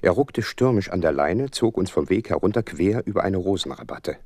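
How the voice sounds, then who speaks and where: Casting: loyal, earnest companion-narrator for radio drama, low husky voice, expressive swings in pitch. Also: male voice, a little fast, no echo, studio quality. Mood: vivid narrating. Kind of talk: radio play narration and acting.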